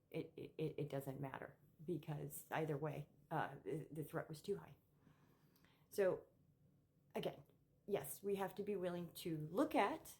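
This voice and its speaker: Low-voiced, emphatic woman vlogger. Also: darkish tone. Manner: emphatic, opinionated, halting with repeated words. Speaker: political commentary vlogger. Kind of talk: unscripted opinion monologue to camera.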